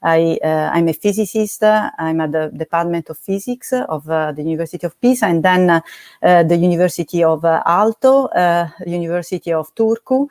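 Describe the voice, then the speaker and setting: A woman's voice: on the low side, a little mellow, no room sound, studio quality. Hesitant woman host. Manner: halting, many fillers. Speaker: event host. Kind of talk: hesitant opening remarks.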